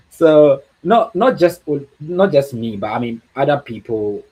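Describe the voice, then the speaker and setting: Man, Ghanaian accent, breathy voice, middle pitch, encouraging livestream guest, repeats phrases. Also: lively intonation, takes it slowly, mellow, low-quality recording. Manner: earnest, repeats words for emphasis, laughs often. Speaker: guest speaker. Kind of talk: motivational livestream talk.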